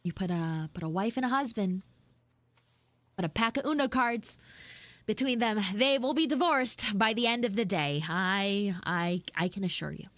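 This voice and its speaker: A woman voicing a flustered, grudging tsundere frog girl in a live audio roleplay, speaking casually with halting stammers. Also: mid-range voice, fairly bright tone, no room sound, lightly acted. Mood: grumbling. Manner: halting, stammering, drawn-out delivery.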